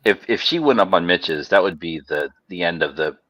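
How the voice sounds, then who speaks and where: A man's voice: sits low, expressive, fairly quick, a bit bright. A man chatting casually and profanely as part of a livestream panel. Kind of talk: casual chat. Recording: slightly dull, lo-fi mic.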